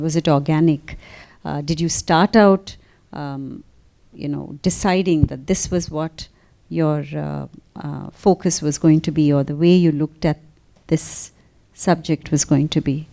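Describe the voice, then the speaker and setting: A woman interviewing an author, asking long questions with many pauses and fillers. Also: low-pitched, warm tone. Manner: hesitant, searching questions with fillers. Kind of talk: conversational interview.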